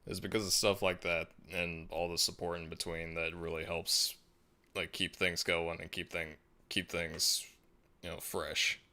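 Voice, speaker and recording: Male streamer with a very deep voice and a relaxed, meandering delivery. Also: a little slow. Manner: laid-back and musing, frequent restarts and soft laughs. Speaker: livestream host. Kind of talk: casual livestream chat, reading viewer comments.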